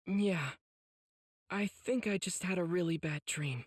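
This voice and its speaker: A kind-hearted young swordsman from an anime game. The voice is very high and boyish, unhurried and emotional. It rings with a long, echoing reverb. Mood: gentle, puzzled. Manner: earnest, emotional. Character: earnest, kind-hearted teenage boy swordsman fighting grief.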